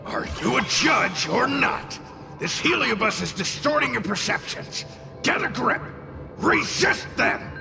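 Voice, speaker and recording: A hot-tempered little fire spirit in a video game, cocky and theatrical. The voice is heavily gravelly and fairly high for a male, swinging wildly in pitch, and it takes its time over the words with music underneath. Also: very animated, a little slow, exaggerated voice. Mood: urgent, furious.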